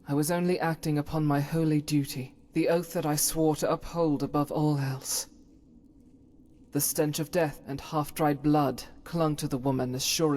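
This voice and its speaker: A woman voicing a solemn, zealous cleric in a fantasy video game, speaking in a formal, measured, pious way. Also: low voice, mellow. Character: solemn, zealous female holy cleric, unshakably devout. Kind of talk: video game character dialogue.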